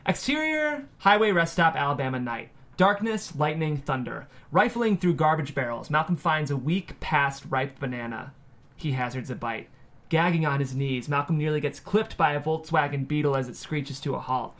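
A man's voice: a little high, expressive, normal speed, fairly bright tone. A man reading scripted stage directions as the narrator of a screenplay table read.

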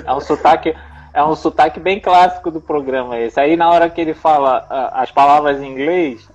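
A man co-hosting a podcast chat, relaxed and reflective, laughing easily. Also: on the high side, a little fast, muffled recording. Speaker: podcast co-host and editor. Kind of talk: casual chat.

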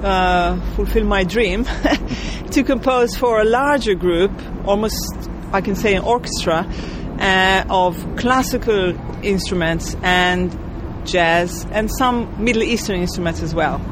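A woman's voice: medium pitch, slow, few pauses, noisy background. A woman composer from Israel, answering interview questions thoughtfully and searching for her words.

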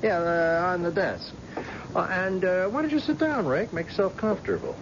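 A dull, noisy old radio recording of a blind ex-cop, proud and self-mocking. He talks in a folksy, halting way, his pitch moving freely as in classic radio drama. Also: animated. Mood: breezy, playful. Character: blind ex-police husband, proud, jovial, self-deprecating tough guy.

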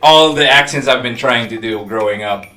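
Filipino-accented man, bright echoey podcast voice. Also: mid-range voice, normal speed, recorded from a distance. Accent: Filipino-inflected American English. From Philippines. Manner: animated, chatty, laughs while teasing. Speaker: podcast co-host. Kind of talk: casual podcast chat. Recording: echoey room, voice a bit off-mic.

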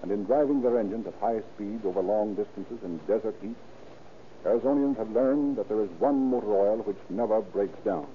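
A man's voice: on the low side, moderate pace, phone-quality sound. A man narrating and announcing in a formal, declamatory 1930s radio style. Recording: thin, hissy 1930s radio recording.

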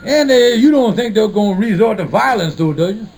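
An actor playing a sheepish, scheming married lodge leader in a 1950s radio sitcom, hesitant and evasive with folksy, ungrammatical speech. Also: male voice, sits high, lively intonation, fairly quick, a little mellow. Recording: noisy 1950s radio broadcast recording.

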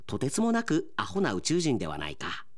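Animated male radio drama narrator. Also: medium pitch, fairly quick. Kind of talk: dramatic comic scripted narration.

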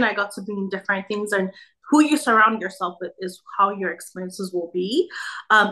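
A woman's voice: medium pitch, sharp tone, poor audio. A young woman from Nigeria chatting as a podcast guest, full of "like" and "you know". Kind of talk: casual conversation.

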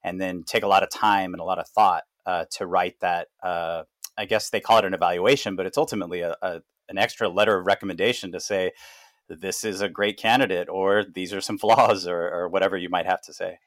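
A man hosting a podcast interview, talking in a measured way with frequent "uh" fillers. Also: low voice, fairly even intonation, dry recording, clean studio sound. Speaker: podcast host, founder of consulting firm. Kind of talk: casual interview.

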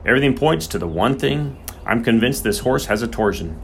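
A man's voice: mid-range voice, expressive, a little fast, creaky, a little hiss or hum. A man voicing an earnest country vet in an audiobook, polite and firm but uneasy. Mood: grave, resolute.

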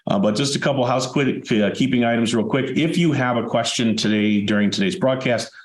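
A man hosting a webinar in a relaxed, conversational way, his voice hoarse, raspy and dry from a chest cold. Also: mid-range voice, brisk. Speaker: webinar host. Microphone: video call. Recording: echoey room over a video call.

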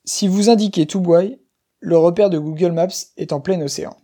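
A man in his twenties from western France, reading a scripted personal story slowly and clearly for language learners. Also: sits high, dark tone. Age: 20s. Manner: slow, clear, careful reading. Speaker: guest speaker.

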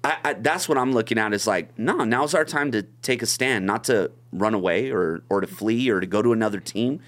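An earnest man from Long Beach speaks as a podcast guest. He talks in a relaxed, conversational way and often repeats his first words before he gets going. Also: on the low side, brisk. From Long Beach, California. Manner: earnest, repeats words when starting a thought.

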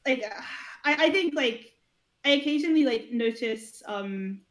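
A fairly high-pitched woman talks as a podcast guest, an esports founder and coach. Her speech wanders and is packed with "like" fillers and restarts. She is candid and blunt at times. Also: expressive, moderate pace. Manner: rambling, heavy on "like" fillers, hesitant restarts. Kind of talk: casual podcast conversation.

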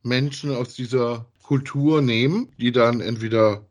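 Man, medium pitch, slightly rough voice, casual podcast talk, colloquial, rambling. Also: fairly even intonation, a little slow. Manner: colloquial, rambling, ends phrases with tag questions. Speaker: podcast host. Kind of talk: casual conversational review of audio dramas.

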